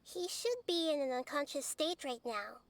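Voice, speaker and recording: A woman voicing a small, wise and calm girl goddess in a video game, speaking in a soft, clear, measured way. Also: sits high, no room sound. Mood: serious. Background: game music.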